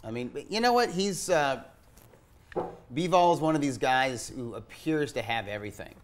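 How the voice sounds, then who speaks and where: A man giving casual sports analysis as a panelist, thinking his points through in a halting, analytical way. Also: mid-range voice, expressive. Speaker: boxing magazine editor, panelist.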